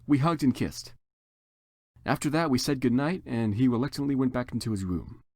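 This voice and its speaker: A man's voice: medium pitch, expressive, moderate pace, a little mellow, no room sound, clean studio sound. A man giving a theatrical, mock-serious dramatic reading of fan fiction as the narrator. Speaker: reader.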